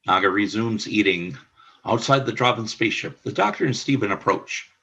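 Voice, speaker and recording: A man's voice: lowish voice, lively intonation, normal speed, vocal fry, bright, forceful tone, husky. A man narrating the stage directions of a radio play in a plain, matter-of-fact way.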